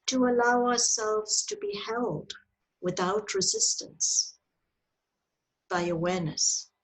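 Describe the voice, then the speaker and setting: A woman leading a guided meditation in a soft, breathy voice, with gentle, deliberate phrasing and long pauses, heard over a video call. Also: mid-range voice, rough recording. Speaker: meditation teacher leading a yoga nidra. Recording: video call audio with clicks.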